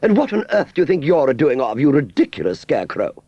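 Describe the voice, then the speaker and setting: A man reading a storybook and voicing a haughty, bossy female doll in a high, affected, imperious falsetto. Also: very animated, quick pace, warm tone, no room sound, lo-fi sound.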